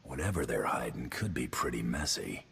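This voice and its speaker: An actor playing a big, flirtatious tough mercenary in a video game, teasing and laconic. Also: male voice, rumbling low, animated, normal speed, gravelly, fairly bright tone, slight background noise, full character voice. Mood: matter-of-fact.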